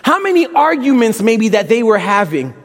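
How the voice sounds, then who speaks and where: Young man, Brooklyn accent, preacher, passionate sermon, very high voice, echoing large hall. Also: very animated, moderate pace. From Brooklyn, New York. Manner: impassioned, rhetorical questions, casual slang. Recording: long reverb in a large hall.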